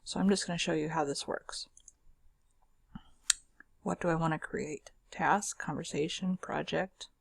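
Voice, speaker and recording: A woman talks through a screen demo in a loose, chatty way, with fillers and restarts. Her voice is scratchy and heavily gravelly, with a husky rasp. The sound is close and dry, with no room in it. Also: low voice, fairly even intonation, unhurried, long pauses, dry recording. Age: adult. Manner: chatty and informal, with fillers and self-corrections. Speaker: screen-share software demonstrator. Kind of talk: casual screen recording walkthrough.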